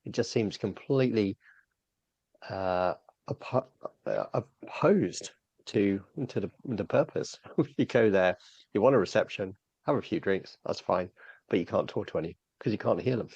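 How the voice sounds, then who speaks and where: Relaxed male podcast guest, low-ish and talkative; dry, slightly muffled recording. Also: moderate pace, breathy edge, no room sound. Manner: chatty, laughs often, fillers. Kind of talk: casual panel chat.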